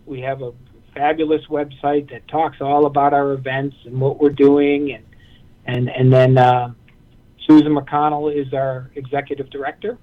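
A man's voice: medium pitch, level tone, normal speed. A man from Massachusetts, a guest on a radio interview, telling a story earnestly with frequent restarts. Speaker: guest, nonprofit representative. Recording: tinny phone line.

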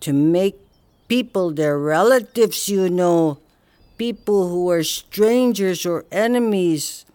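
Calm older grandmother elder voiced by a woman; slow, lowish, unhurried storyteller. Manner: slow, gentle storytelling, many "you know". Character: wise Cree grandmother elder, calm and patient.

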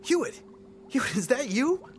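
A man playing an embattled, wry and excitable space fleet lieutenant in an audio drama, talking in a hesitant, urgent mutter. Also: very high-pitched, moderate pace, soft background music. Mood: excited relief. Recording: loud engine-like ship ambience behind.

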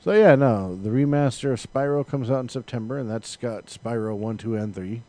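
A man's voice: mid-range voice, moderate pace, dark tone, no room sound. A man, perhaps in his thirties, chatting informally about video games as a co-host, with plenty of profanity.

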